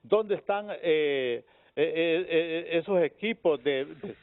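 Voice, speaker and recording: A man with a Honduran accent arguing as a guest in a heated panel debate, using rhetorical questions and halting repetitions. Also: a little high, phone-quality sound.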